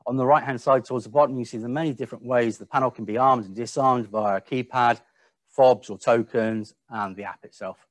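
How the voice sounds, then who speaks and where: A man giving a webinar-style product presentation, speaking a little haltingly and repeating the odd word. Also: medium pitch, steady tone, no room sound.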